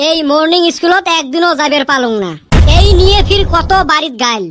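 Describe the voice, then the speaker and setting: A very shrill, childlike cartoon voice put on by a performer plays a cheeky village kid. The kid complains and exclaims in a northern Bengali accent. There is clear noise behind the voice. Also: animated, fairly quick. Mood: grumbling, exasperated. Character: mischievous village kid grumbling about the heat. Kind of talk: comedy cartoon dialogue.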